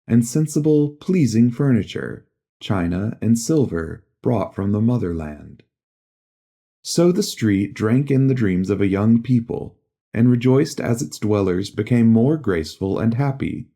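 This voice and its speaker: A man narrating a literary audiobook in a measured, solemn storytelling manner. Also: mid-range voice, mellow.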